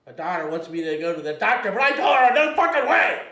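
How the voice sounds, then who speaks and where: A man's voice: high voice, big pitch swings, fast, sharp tone, exaggerated voice. A man playing a cantankerous, foul-mouthed Canadian bait shop owner in a comedic table read. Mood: grumbling. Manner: profane, cantankerous, barking insults. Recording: echoey room, distant from mic.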